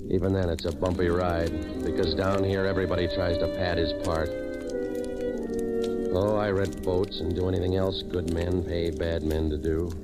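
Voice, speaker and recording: A cynical private operator in an old radio noir. The voice is low, the manner dry, weary and sardonic, with clear music behind it. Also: male voice, little pitch movement, moderate pace. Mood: world-weary, sardonic. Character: cynical wisecracking hard-boiled waterfront private operator. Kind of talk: noir narration and dialogue, old-time radio acting.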